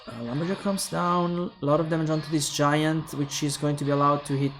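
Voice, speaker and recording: A young man with an Italian accent casting a mobile game tournament alone, calling plays quickly and thinking aloud to viewers. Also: a little high, fairly even intonation, fairly bright tone, slight background noise. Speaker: esports caster. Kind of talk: solo esports play-by-play commentary.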